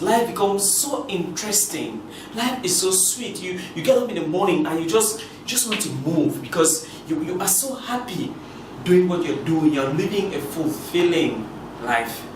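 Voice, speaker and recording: A man from Cameroon giving a preachy motivational talk to his viewers, with many repeated words and restarts. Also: a little high. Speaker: motivational speaker. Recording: echoey room from a distance with noise.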